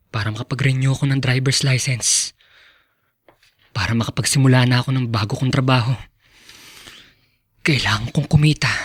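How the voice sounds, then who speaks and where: A man's voice: medium pitch, fairly bright tone, slightly rough. A man with a Filipino accent acting a devoted, earnest young student son in a radio drama, tender and respectful. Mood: quiet, resolute. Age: young adult. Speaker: actor in a drama.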